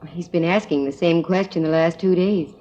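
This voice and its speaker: A matter-of-fact nurse, played by an actress in 1950s radio drama. Her voice is low and dark for a woman, with a mellow edge, on a dull old recording. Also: a little fast, lightly acted.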